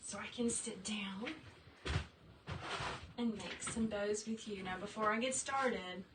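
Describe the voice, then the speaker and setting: Brief: upbeat, chatty woman craft teacher, mid pitch, mic set off from her in a reverberant workshop. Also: fairly even intonation, takes it slowly. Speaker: wreath maker teaching a craft. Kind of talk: casual livestream tutorial reading viewer comments. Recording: roomy, distant sound with faint room noise.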